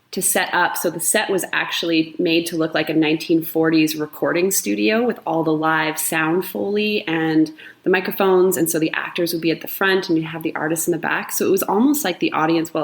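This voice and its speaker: A woman with a notably low voice for a woman, the school's drama teacher, talking fluently and eagerly about her productions. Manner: enthusiastic, fluent storytelling. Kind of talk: casual interview talk.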